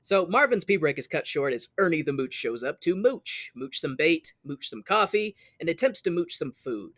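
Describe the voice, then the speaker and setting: A man's voice: a little high, sing-song, normal speed, fairly bright tone, no echo, clean studio sound. A man co-hosting a podcast, casually retelling a story in a jokey, conversational way. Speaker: podcast co-host. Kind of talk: casual retelling of a stage musical.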